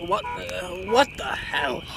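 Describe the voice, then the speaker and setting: An actor playing a stunned, indignant prime minister in an audio drama, blustering and spluttering in halting phrases. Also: medium pitch, very animated, gravelly, exaggerated voice. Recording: noisy scene with ambience behind.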